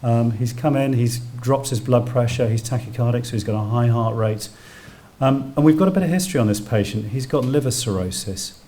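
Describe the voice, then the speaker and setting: A man's voice: medium pitch, a little fast, mellow. A British man giving a public medical lecture as a doctor, explaining technical terms plainly as he goes. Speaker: doctor lecturing on emergency radiology. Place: indoor public lecture venue.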